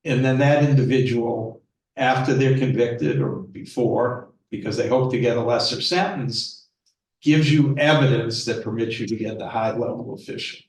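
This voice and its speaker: Measured man judge, distant and echoey. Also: medium pitch. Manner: measured, self-correcting. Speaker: retired judge on the panel. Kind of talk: panel discussion answer. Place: law school lecture room. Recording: echoey room, far from the mic.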